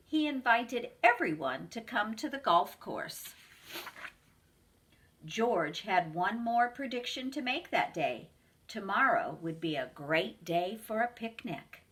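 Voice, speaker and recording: A woman, a preschool teacher, reading a picture book aloud to children in a slow, expressive storytelling voice. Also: on the high side.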